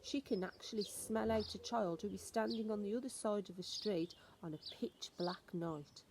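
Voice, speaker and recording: A woman's voice: medium pitch, normal speed, pitched above the performer's own voice, lightly acted. A woman voicing a stern, knowing old grandmother who instructs emphatically and ominously in a storybook reading, with some noise on the recording. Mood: relishing, instructive.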